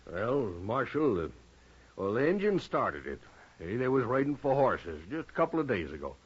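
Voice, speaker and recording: An American actor playing an uneasy rural Western rancher in a 1950s radio drama, husky, drawling and halting. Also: male voice, mid-range voice, wildly swooping pitch. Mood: hesitant, uneasy. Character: folksy, uneasy frontier rancher and father, hesitant. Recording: dull lo-fi old radio recording.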